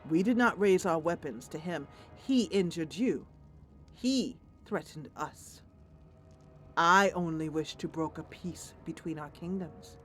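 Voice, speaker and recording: A woman playing a calm fantasy game heroine, speaking in a measured, earnest and formal way. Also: fairly low pitch, husky, faint music behind. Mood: earnest, firm. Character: calm, diplomatic heroine pleading for peace.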